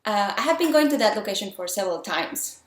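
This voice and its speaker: A woman's voice: medium pitch, very animated, quick pace. A woman from Mexico with a Mexican accent tinged with French, talking through filmmaking tips in an earnest, chatty, enthusiastic way. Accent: Mexican, with some French influence. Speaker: independent filmmaker. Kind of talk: casual talk giving filmmaking tips. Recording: roomy echo.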